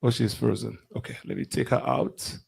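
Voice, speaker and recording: A man's voice: medium pitch, moderate pace, creaky, darkish tone, husky. A man hosting a talk show, rambling and repeating words as he handles call-in guests. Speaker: host.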